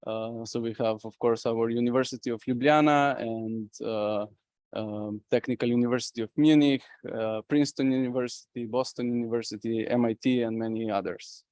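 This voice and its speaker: A man's voice: mid-range voice, fairly even intonation, normal speed, darkish tone, no room sound. A man from Slovenia giving a conference presentation as a company representative, talking with many fillers and restarts.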